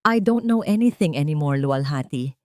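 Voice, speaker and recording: A woman's voice: low-pitched, animated, normal speed, a little mellow, no echo, clean studio sound. A woman voicing a wounded, doubting rich man, pained and cold, in an audio melodrama.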